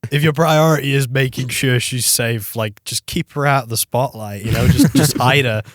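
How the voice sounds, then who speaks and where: A man chatting informally as a podcast panelist, retelling things in an animated, conversational way. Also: medium pitch, moderate pace, no room sound, clean studio sound. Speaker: podcast panelist discussing films. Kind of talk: casual chat.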